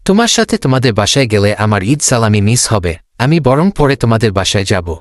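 A man voicing a friendly, money-minded young boy in a children's cartoon, speaking in an animated way. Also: medium pitch, fast talker, no echo, studio quality, natural voice. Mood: earnest.

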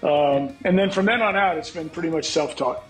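A man's voice: fairly high pitch, moderate pace, faint music behind. Scratchy-voiced middle-aged man, frank. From United States. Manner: frank, reflective, swears casually. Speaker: guest songwriter. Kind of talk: candid interview talk. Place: home room, on a video call. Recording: echoey video call audio.

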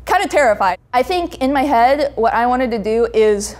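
A young woman chatting casually as an interview guest, animated and acting out conversations as she tells stories. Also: fairly high pitch, normal speed. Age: young adult. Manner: animated storytelling, acting out reported dialogue. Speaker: comic creator, interview guest. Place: indoor brick-walled studio room.

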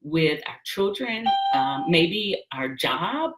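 A woman's voice: lowish voice, big pitch swings, slow pace, low-quality recording. A woman in her thirties hosting an online call-in session, speaking casually to her listeners from a small room. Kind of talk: casual, apologetic address to listeners. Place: small home office, indoors.

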